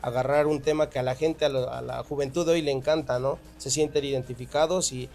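A man's voice: mid-range voice, steady tone, brisk, darkish tone. A middle-aged man, a band leader giving long, earnest explanations in a radio interview. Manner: earnest, explains at length, occasional laughs.

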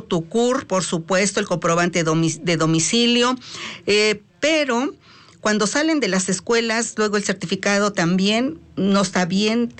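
A woman with a Mexican accent hosting a live talk radio show. She chats freely, stretches some words and fills pauses with "eh". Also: medium pitch, a bit bright. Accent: Mexican Spanish. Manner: chatty, drawn-out words, frequent "eh" fillers. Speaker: radio host.

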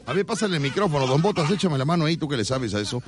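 A man's voice: on the high side, animated, racing, heavy background noise. A man with a Mexican accent hosting a live radio show, joking and teasing his guests. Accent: Mexican Spanish. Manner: teasing and jokey, often laughing mid-sentence. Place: radio studio.

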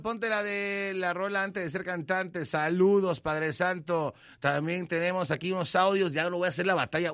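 Joking Mexican radio host, dry close mic. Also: male voice, on the high side, no echo. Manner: joking, teasing, lively banter. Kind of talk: reading listener messages on air. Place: radio studio.

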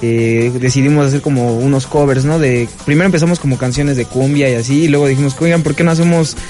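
A man explains his band's work in a casual, rambling way, mid-pitched. Faint music sits under the talk. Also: steady tone, quick pace, mellow. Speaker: cumbia band member, guest.

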